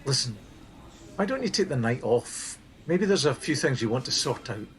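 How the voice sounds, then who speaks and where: A man's voice: medium pitch, very animated, moderate pace, lightly acted. A man playing an earnest, tactful but insistent supervisor in a naturalistic radio play. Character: earnest, firm male supervisor of a helpline team.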